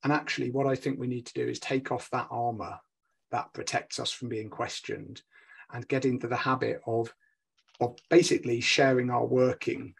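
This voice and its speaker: A man in his forties makes an earnest, persuasive case on a video call from a home study. His voice is gravelly and a little husky, at a middle pitch. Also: level tone, moderate pace. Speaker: engineering lecturer, panelist. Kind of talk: panel discussion.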